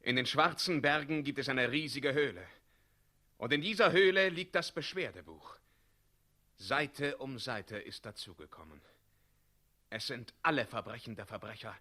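An actor playing a noble knightly hero, grave and declamatory, in a radio play. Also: male voice, mid-range voice, animated, moderate pace, pushed and bright. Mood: grave, sorrowful. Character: noble knightly hero, tender lover, stern avenger. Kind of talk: 1960s German radio play acting.

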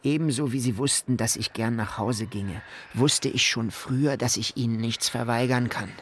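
A man's voice: medium pitch, fairly even intonation, normal speed, a bit bright, slight background noise, close to an everyday voice. An actor playing a meek, anxious and evasive man, speaking with deferential earnestness in a German radio drama. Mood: pleading.

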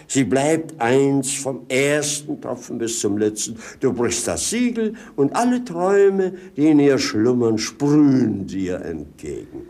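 A man's voice: fairly high pitch, warm tone. An actor in a 1950s German radio drama playing a jolly, wine-loving, mocking servant, theatrical and savouring his words.

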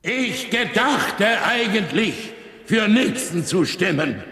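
Ein Schauspieler spielt in einem Hörspiel einen feurigen Vater, der mit Nachdruck vor einer Versammlung spricht. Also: male voice, sits high, animated, takes it slowly, gravelly, pushed and bright, heavily acted. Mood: rousing, emphatic. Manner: declamatory, emphatic. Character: Martin's fiery father addressing a mass meeting. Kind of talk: oratory in a radio play. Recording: echoing large hall, long reverb.

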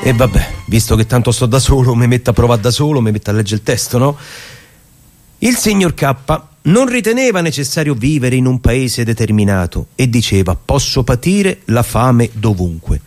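A man's voice: medium pitch, expressive, a little mellow, faint music behind, close to an everyday voice. A man acting a stage performer, in a theatrical monologue that moves between casual talk and literary reading. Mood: resigned, then measured, declamatory. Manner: halting and repetitive on the phone, measured when reading. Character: chatty stage actor on a phone, rehearsing alone.

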